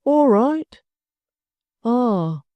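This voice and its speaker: A woman voicing a stunned, dazed old male innkeeper who speaks in a slow, ponderous mutter. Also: a little high, big pitch swings, no echo, highs cut off, voice pushed up, exaggerated voice.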